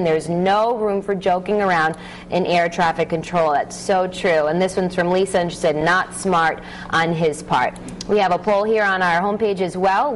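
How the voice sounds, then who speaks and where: A woman's voice: fairly low pitch, a little hiss or hum, low-quality recording. A woman in her thirties presenting and reading out viewer comments in a television studio. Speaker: TV show web correspondent. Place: TV studio tech desk, standing.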